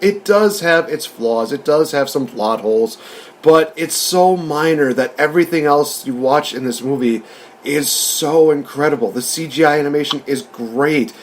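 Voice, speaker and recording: A man's voice: fairly high pitch, normal speed, slight background noise. A man hosting a casual review discussion, recapping and commenting in an informal, conversational way. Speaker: podcast host reviewing films.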